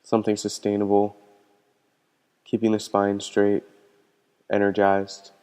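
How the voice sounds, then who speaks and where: A man leading a guided meditation as a teacher, speaking in a calm, gentle, unhurried way with pauses. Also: on the low side, smooth. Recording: long hall-like reverb.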